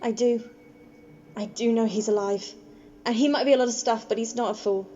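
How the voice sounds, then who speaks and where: A kind, stubbornly loyal homeless woman played by a woman in an amateur radio drama. Her voice is fairly high and very mellow, with colloquial, halting phrasing. The home recording is dull, with faint noise behind it. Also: fairly even intonation, close to an everyday voice. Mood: insistent, defensive. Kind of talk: amateur radio play acting.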